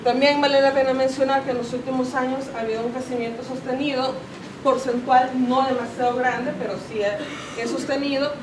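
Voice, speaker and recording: A woman in her thirties with a Salvadoran Spanish accent, presenting figures to a seminar audience and often pausing to correct and rephrase herself. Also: high-pitched, level tone. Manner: explanatory, self-correcting, many fillers. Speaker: conference speaker. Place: conference room with audience. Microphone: far camera, no mic seen. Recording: roomy, distant camera audio with background noise.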